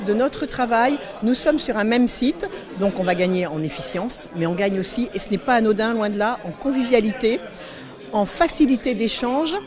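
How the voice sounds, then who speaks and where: Woman official speaking in measured short phrases. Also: fairly high pitch. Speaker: local official being interviewed. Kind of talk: spontaneous interview answers.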